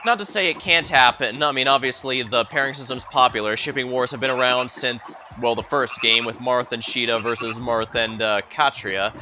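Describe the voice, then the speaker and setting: A man's voice: mid-range voice, normal speed, hardly any pauses, bright, forceful tone, a little hiss or hum. A man giving live playthrough commentary on a video game, musing hesitantly and wandering into asides as he streams.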